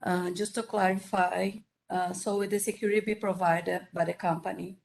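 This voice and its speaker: A woman's voice: lowish voice, level tone, normal speed, creaky, a little mellow. A woman from the public asking direct questions at a council meeting. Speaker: member of the public. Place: council chamber.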